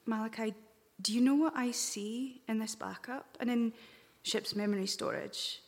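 High-voiced, kindly crewmate played by a woman. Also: normal speed, vocal fry. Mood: gently consoling. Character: practical, kindly female crewmate logging recordings. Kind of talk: live audio drama performance. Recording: echoey live hall, reverberant.

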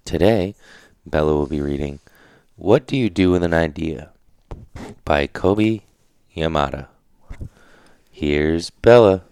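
A man's voice: a bass voice, slow, a little mellow, smooth, no room sound, clean studio sound. A man giving a scripted introduction as the announcer of a read-along show.

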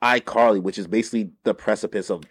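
A man's voice: lowish voice, fast talker, slightly rough. A man co-hosting a podcast, chatting casually with slang and plenty of energy. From Brooklyn, New York. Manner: slangy and animated. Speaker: podcast co-host. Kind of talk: casual chat.

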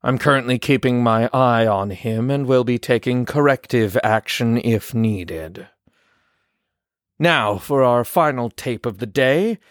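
A man playing a watchful facility doctor, dictating case tapes in a measured, formal, detached way for an audio drama. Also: medium pitch, no echo, clean studio sound, lightly acted. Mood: clinical, wary. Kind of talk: recorded case notes in a horror audio drama.

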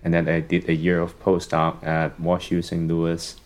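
A young man from Hong Kong, a psychology professor, speaking as a podcast guest and telling a long story in a relaxed way with many "um"s and "you know"s. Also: low voice, normal speed. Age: young adult. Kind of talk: casual podcast conversation.

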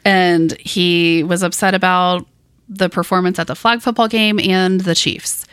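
A woman's voice: on the low side, fairly even intonation, measured pace, a bit bright, smooth, dry recording. A woman co-hosting a casual podcast, talking in a chatty, storytelling way and laughing often. Her throat is a little dry from allergies. The recording is close and dry, with almost no room sound. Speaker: podcast co-host. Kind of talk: casual chat. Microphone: podcast mic in a small room.

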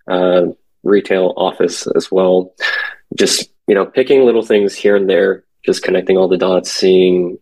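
Podcast guest: young man, very low husky voice, relaxed and halting, lots of ums. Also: low-quality recording. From born in Korea, raised in San Francisco Bay Area. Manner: hesitant, many fillers, relaxed. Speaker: guest, commercial real estate associate. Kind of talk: casual podcast conversation.

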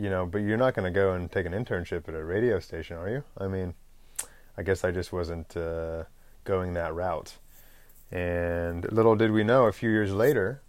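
A man with a fairly low voice hosts his own podcast in a loose, unscripted monologue. He rambles from thought to thought, pauses on fillers and clears his throat now and then. The close microphone leaves the sound dry, with no room around it. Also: no room sound. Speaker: podcast host.

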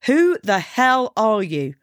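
A grumpy, reclusive man, played by a woman narrator, wary and easily indignant. The delivery is animated, with pitch jumping up in protest. It is an audiobook character, recorded close and dry. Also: slow, no room sound. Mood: angry, defiant.

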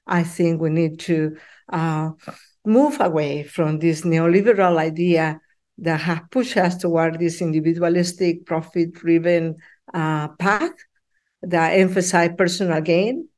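A mature woman with a Peruvian Spanish accent, speaking as a professor in an interview in earnest, halting phrases. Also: on the low side, a little mellow. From Peru. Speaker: professor, podcast guest. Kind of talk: interview answer.

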